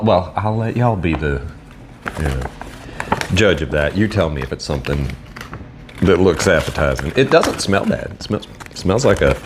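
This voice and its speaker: A man with a Southern American accent casually reviewing food on camera in a relaxed, rambling way. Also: low-pitched, noisy background. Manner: laid-back, rambling, self-deprecating. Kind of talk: casual solo video review.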